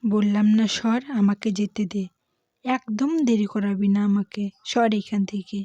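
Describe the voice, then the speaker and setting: A woman's voice: mid-range voice, fairly even intonation, a little slow, mellow, dry recording, lo-fi sound, natural voice. A woman voicing a haughty, dismissive young elder sister in a cartoon, bossy and scornful.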